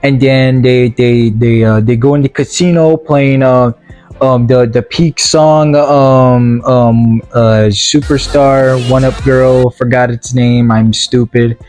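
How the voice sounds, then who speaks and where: A man giving a casual, rambling movie review in a halting, stammering way, with a sarcastic, mock-earnest streak. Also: mid-range voice, steady tone, darkish tone, faint music behind. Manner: halting, stammering delivery with repeated words. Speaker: video reviewer.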